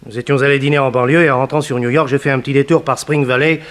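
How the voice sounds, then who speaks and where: An actor playing an evasive, haughty suspect in a 1960s French radio drama, glib, dismissive and defensive. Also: male voice, mid-range voice, fairly even intonation, rapid-fire, close to an everyday voice. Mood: guarded. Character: evasive, haughty male suspect, indebted man about town.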